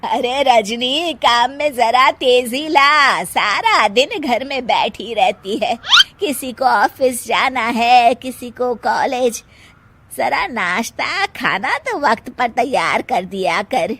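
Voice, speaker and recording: A woman acting a slow, nagging mother-in-law. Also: a little high, lively intonation, fairly bright tone, exaggerated voice. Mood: scolding. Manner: slow, drawn-out scolding. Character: nagging mother-in-law scolding her lazy daughter-in-law.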